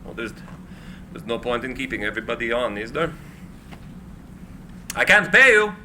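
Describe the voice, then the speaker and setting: An actor playing an eccentric, idealistic inventor in a live screenplay reading, theatrical and earnest. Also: male voice, mid-range voice, sing-song, normal speed, background noise, lightly acted. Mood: distraught.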